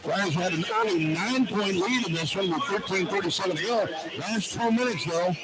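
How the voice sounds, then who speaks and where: A man's voice: sits high, brisk, over a noisy crowd. A man doing live basketball play-by-play on the radio in clipped, running description. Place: basketball arena broadcast position. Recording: very noisy arena radio broadcast. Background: loud arena ambience.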